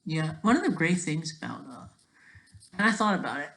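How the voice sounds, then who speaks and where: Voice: medium pitch, big pitch swings, fairly quick, a bit bright. A person giving rambling, off-the-cuff commentary as an amateur creator, often breaking off mid-sentence and tagging statements with "right?".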